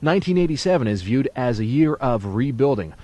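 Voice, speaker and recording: A man's voice: mid-range voice, lively intonation, brisk, warm tone. A man working as a radio DJ in a studio, giving playful, folksy announcer patter for a 1980s music show. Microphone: studio broadcast microphone.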